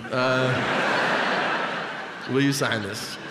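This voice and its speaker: A man's voice: medium pitch, fairly even intonation, very noisy. A man telling a long stand-up story on a large theater stage with a handheld mic, slipping into voices for the people in it. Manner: unhurried storytelling with voiced impressions. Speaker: stand-up comedian. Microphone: handheld microphone. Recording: echoey hall with loud audience.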